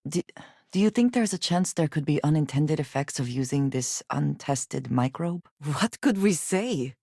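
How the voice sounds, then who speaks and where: A woman playing a guarded, earnest space explorer in a video game, speaking in measured, formal and precise phrases. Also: sits low, lively intonation, warm tone, slightly rough, dry recording, clean studio sound, heavily acted. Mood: earnest, thoughtful. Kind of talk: video game character dialogue lines.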